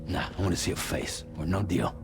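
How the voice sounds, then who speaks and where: A wary game courier with a very deep voice. He is blunt, terse and skeptical, and music plays clearly behind him. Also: steady tone, brisk. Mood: suspicious. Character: gruff, wary, distrustful male courier. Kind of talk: video game cutscene dialogue.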